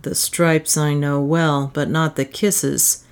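A woman voicing a grim, gloomy adventurer who answers tersely in measured tones. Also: deep voice, lively intonation. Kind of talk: audiobook character reading.

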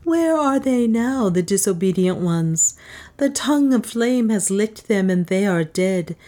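A woman's voice: mid-range voice, lively intonation, unhurried, dark tone, voice pushed up, close to an everyday voice. A woman reader voicing a commanding young woman who poses as a goddess, declaiming in an imperious manner.

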